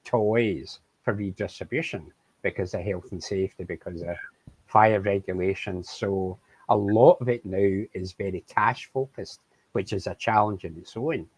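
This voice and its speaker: A man who represents a charity, speaking in a measured, thoughtful way in an interview. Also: lowish voice, slightly rough, rough recording. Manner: measured, thoughtful, asks questions. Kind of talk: conversational interview.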